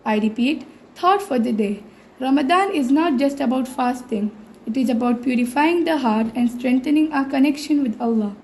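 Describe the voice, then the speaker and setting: A woman's voice: sits high, normal speed, a little mellow. A teenage girl speaks. She reads a short prepared piece like a student at assembly, with faint noise behind her. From India. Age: teenager, ninth grade. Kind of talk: read-out thought for the day. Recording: faint background noise, school intercom assembly.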